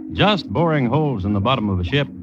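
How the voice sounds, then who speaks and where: An actor playing a droll, macabre connoisseur of crime, narrating deliberately and wryly as an old-time radio host. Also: male voice, medium pitch, sing-song, music in the background, lightly acted. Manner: deliberate, wry, understated. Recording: muffled vintage radio recording.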